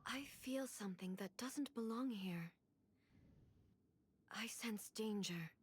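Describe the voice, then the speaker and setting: A woman playing a stern, commanding female shogun in a video game, speaking formally and with measured authority. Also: mid-range voice, slight background noise, natural voice. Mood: grave, wary.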